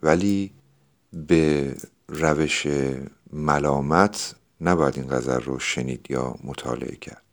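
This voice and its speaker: A man with an extremely low, deep voice speaks as a podcast host. He explains classical poetry in a deliberate, reflective way.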